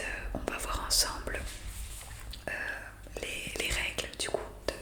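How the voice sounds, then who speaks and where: A woman whispering an improvised ASMR roleplay as a friendly zombie guide, breathy and gentle, explaining hesitantly close to the microphone. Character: friendly young woman zombie welcoming a newcomer. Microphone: close studio condenser microphone.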